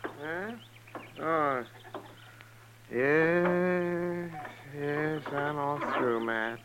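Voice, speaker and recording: An actor playing a weary, kindly frontier doctor in a 1950s radio western, with a hesitant, folksy way of talking. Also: male voice, a little high, extremely slow. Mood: shaken, subdued. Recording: dull, noisy old radio recording.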